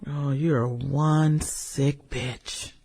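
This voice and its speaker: A woman's voice: extremely low pitch, fairly even intonation, drawn-out words, mellow, heavily acted. A woman acting an arrogant, ruthless former cheerleader turned killer in a radio drama, haughty, sneering and sassy. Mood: indignant.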